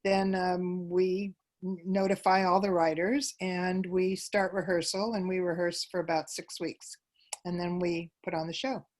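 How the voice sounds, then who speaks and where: A woman's voice: on the low side, little pitch movement, a little slow, a little mellow. A woman giving conversational answers as an interview guest about her work in a theater troupe.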